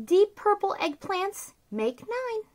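Woman, 30s, kids' story time host; high, very animated, bubbly read-aloud in a small room. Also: slow pace. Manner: bubbly, sing-song talk to children. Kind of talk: reading a picture book aloud to kids. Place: small decorated room indoors.

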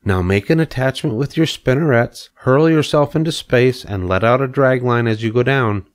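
A man's voice: mid-range voice, normal speed, pitched above the performer's own voice, natural voice. A man voicing a wise, kindly female spider in a storybook read-aloud, patient and explaining.

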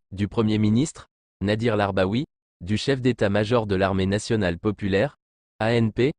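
A man with a fairly low voice reads a scripted news commentary. His delivery is formal and literary, clearly read from a written text. The sound is dry, with little room around the voice. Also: a little mellow, dry recording. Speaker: news narrator.

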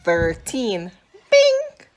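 A woman's voice: on the high side, huge pitch swings, extremely slow, a little mellow, slight background noise. A woman in her thirties with a Jamaican accent, filming a sassy, teasing family vlog on a phone held at arm's length in a house. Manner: teasing, sassy, scolding with dry humor. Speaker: mother and vlogger. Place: small room and hallway of a house.

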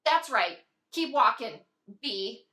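A woman performing a dramatic monologue as a volatile, self-pitying, jilted young woman, swinging between breathy whimpers and loud outbursts. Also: very high-pitched, very animated, slow pace, heavily acted. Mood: furious, betrayed.

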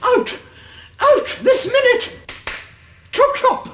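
A woman in a radio drama playing a fluttery, shrill, easily scandalised lady schoolteacher. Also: animated, extremely slow, reverberant, slight background noise, full character voice. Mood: flustered, scolding.

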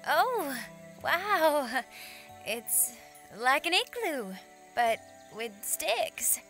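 A woman's voice: high voice, sing-song, drawn-out words, a bit bright, faint music behind, exaggerated voice. A woman playing a polite, hesitant young woman in a horror audio drama, reserved in manner. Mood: polite, wary.

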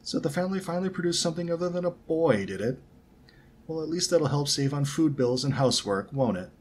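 A man's voice: fairly low pitch, animated, moderate pace, slight background noise, close to an everyday voice. A man voicing a haughty, condescending rich schoolboy bully with a lazy drawl, in a storybook reading. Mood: smug contempt.

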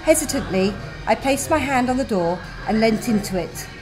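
A woman reading her own first-person story aloud in a gentle, wry manner. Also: a little high, expressive, moderate pace, poor audio. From England. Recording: music playing behind.

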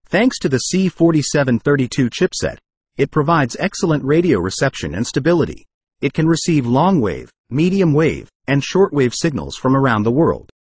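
Male review narrator: husky, lively intonation, formal scripted explainer read. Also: mid-range voice, dry recording.

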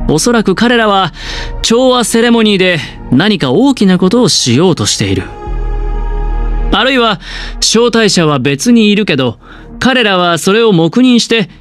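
A man playing a suave, scheming young male gambler in a game, with a smooth, teasing, theatrical delivery. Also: sits high. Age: young adult. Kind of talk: Japanese game dialogue voice acting. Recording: music behind the voice.

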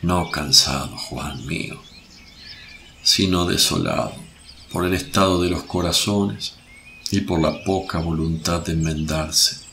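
Man acting compassionate male preacher, solemn, low-pitched, measured, religious radio play, faint birdsong noise. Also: vocal fry, sharp tone, full character voice. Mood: sorrowful.

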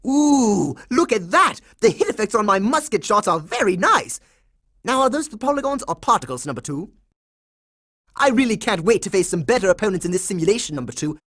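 A man's voice: high-pitched, big pitch swings, brisk, a bit bright, heavily acted. A man voicing a bossy, heroic boy secret agent in a cartoon video game, dramatic and emphatic with a smug confidence. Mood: smug, confident.

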